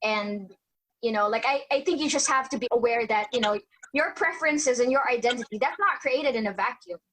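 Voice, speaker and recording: Woman, slightly high pitch, casual, filler-heavy panelist. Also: brisk, a bit bright, low-quality recording. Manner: filler-heavy, lots of "you know, like".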